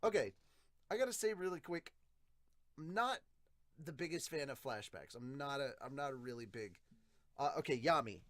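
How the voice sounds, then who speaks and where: A man giving a casual, blunt reaction and review as a podcast host, animated and free with swearing. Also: mid-range voice, moderate pace, long pauses, dry recording.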